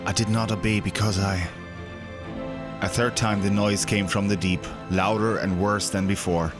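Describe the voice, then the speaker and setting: Male narrator, fairly low register, dramatic scripted storytelling for audio drama, faint music bed. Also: flat delivery, normal speed. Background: background music.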